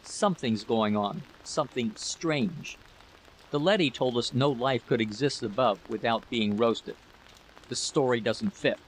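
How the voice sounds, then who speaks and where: A man's voice: mid-range voice, measured pace, close to an everyday voice. A man voicing a brusque, suspicious project leader in a story reading, curt and commanding, on a noisy recording.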